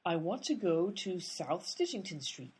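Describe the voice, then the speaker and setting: A brisk, exasperated amateur sleuth, played by a woman in a radio comedy. Her voice is very breathy and her pitch leaps widely as she bosses people around. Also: big pitch swings, darkish tone, heavily acted. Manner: exasperated and bossy. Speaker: lead character, amateur sleuth. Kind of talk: comic radio play acting.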